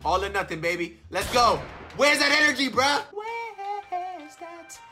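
Hyped young gaming streamer, lively pitch. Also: male voice, unhurried, faint music behind. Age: young adult. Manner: excitable, laughing, teasing. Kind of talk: live gameplay commentary and taunting.

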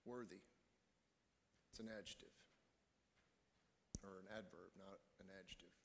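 A middle-aged man preaching a sermon as a pastor, earnest and deliberate, with pauses between phrases. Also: low-pitched, steady tone, vocal fry, a bit bright, slight background noise. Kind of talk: sermon to a congregation. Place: church stage, indoors. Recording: echoey hall with long reverb.

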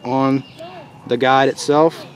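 A relaxed man in his thirties walks through a hands-on project in casual, easygoing talk. He is outdoors in a leafy forest, with faint open-air noise around him. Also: mid-range voice, slow. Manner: relaxed, chatty, laughs easily. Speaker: outdoors channel host. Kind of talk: casual how-to demonstration.

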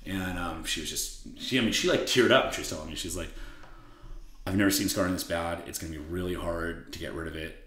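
A man with a raspy voice, a podcast guest talking bluntly and swearing freely in casual conversation. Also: sits low, a little fast, a bit bright. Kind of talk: casual interview chat. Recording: roomy echo.

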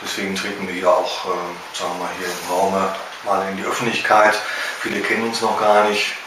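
A middle-aged man with a Ruhr German accent speaks in a somewhat low voice, pausing often on filler sounds. He is picked up from a few feet away, so his voice carries strong room echo. A steady hiss of noise runs underneath. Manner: halting, many filler sounds. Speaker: small business owner. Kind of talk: trade fair interview statement. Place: indoor room with shelves. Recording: roomy echo from a distance.

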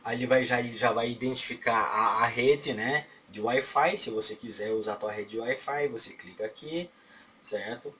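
A man's voice: medium pitch, bright, forceful tone. A middle-aged Brazilian man with a Brazilian Portuguese accent, walking through a phone setup in a loose, rambling way, often checking in with "right?". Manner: rambling, fills pauses with "certo?" and mouth sounds like "pá, pá". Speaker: tech tutorial host. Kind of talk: casual how-to walkthrough. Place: small home office at a desk. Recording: distant webcam mic with faint room noise.

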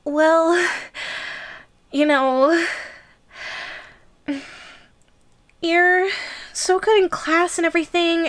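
A woman's voice: very high-pitched, full character voice. A woman playing an obsessive, lovestruck yandere giantess schoolgirl in an audio roleplay, with a hesitant, stammering, giggly delivery. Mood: nervous.

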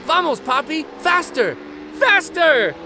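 A man voicing an excited, eager young girl in a picture-book read-aloud, exclaiming. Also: very high voice, takes it slowly, noisy background, pitched above the performer's own voice, heavily acted. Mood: thrilled. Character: excited young girl riding with her dad.

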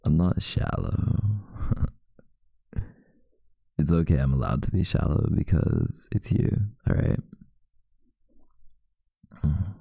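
A man playing a sleepy, teasing, affectionate boyfriend in an intimate ASMR roleplay, murmuring drowsily with husky breath and hesitant pauses, close to the mic. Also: very deep voice, mellow, no echo, heavily acted. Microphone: close mic, dry.

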